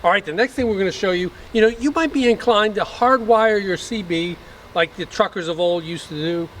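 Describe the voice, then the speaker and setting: A man's voice: sits high, brisk. A man chatting casually as host of a travel vlog, recorded inside a vehicle. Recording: distant mic, loud vehicle road noise.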